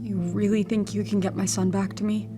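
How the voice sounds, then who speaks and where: A woman's voice: medium pitch, level tone, brisk, natural voice. An actress playing a wounded, emotional young mother in an audio drama, fragile and hesitant. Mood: hurt, wary. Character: fearful, wounded young mother of a missing son. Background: music under the voice.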